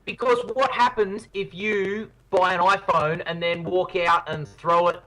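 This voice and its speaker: A man's voice: sits high, steady tone, measured pace, sharp tone, low-quality recording. A man hosting a podcast in casual chat, playful and jokey, hyping ideas with enthusiasm. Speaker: podcast host.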